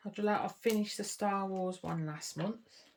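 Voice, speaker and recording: A woman's voice: mid-range voice, unhurried, darkish tone, slight background noise. A woman chatting casually to camera while she works on a craft kit, murmuring and trailing off, a little sniffly. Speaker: craft vlogger.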